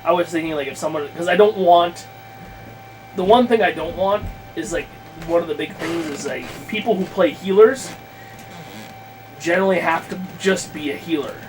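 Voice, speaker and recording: A man's voice: fairly high pitch, moderate pace, music in the background, rough recording. A man in a casual chat about tabletop games, rambling and thinking aloud as he circles back mid-sentence. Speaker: podcast guest planning a tabletop campaign. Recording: clear background noise and hum.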